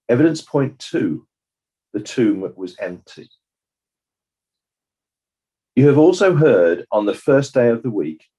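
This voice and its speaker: A man playing a measured, judicious coroner, delivering a formal, deliberate summing-up in a dramatized courtroom inquiry. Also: medium pitch, warm tone, slightly rough. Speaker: presiding judge of inquiry.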